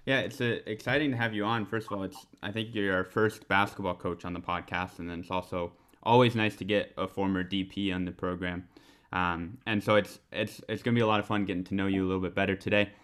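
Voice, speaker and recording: A man's voice: low-pitched, fairly even intonation, quick pace, fairly bright tone. A man co-hosting a podcast, greeting the guest and asking questions with some stumbling restarts. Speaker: podcast co-host. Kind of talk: casual podcast interview.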